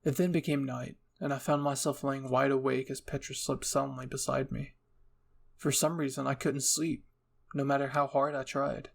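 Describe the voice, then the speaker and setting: A man's voice: mid-range voice, flat delivery, moderate pace, vocal fry. A man reading scripted fan-fiction narration as a storyteller and channel host.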